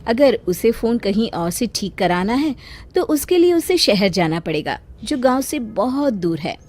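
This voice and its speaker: A woman's voice: on the high side, big pitch swings, unhurried, a little mellow, slight background noise. A woman storyteller narrating a scripted tale for children.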